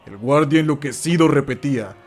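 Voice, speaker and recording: A man narrating a horror radio drama from a script, theatrical and suspenseful, with dramatic pauses. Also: fairly high pitch.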